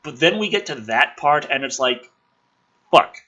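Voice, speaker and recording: A man's voice: a little high, expressive, a little slow, bright, forceful tone, muffled recording. A man hosting a show, mixing ranting commentary with dramatic script reading in a sarcastic, sweary, informal way.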